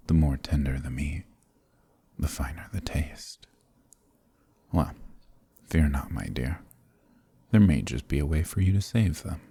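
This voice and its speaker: Sadistic vampire lord, ASMR roleplay: possessive, silky menace, extremely breathy and husky, dark-toned. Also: male voice, a bass voice, no echo, exaggerated voice. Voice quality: breathy, gravelly. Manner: slow, menacing, mockingly tender purr. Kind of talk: ASMR roleplay audio drama.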